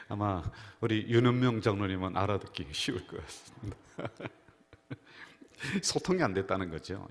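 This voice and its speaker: A male pastor with a fairly low voice delivers a Sunday sermon, weaving in stories and slipping into the voices of the people he quotes. His words ring through a church sanctuary with a long echo. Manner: storytelling preacher who acts out quoted voices. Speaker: senior pastor. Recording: reverberant church hall.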